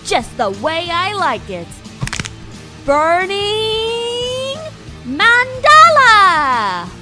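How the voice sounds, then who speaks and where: A woman's voice: extremely high pitch, big pitch swings, extremely slow, exaggerated voice. A woman playing a snarky, combative teenage magical girl in an anime parody drama. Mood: brash. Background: background music.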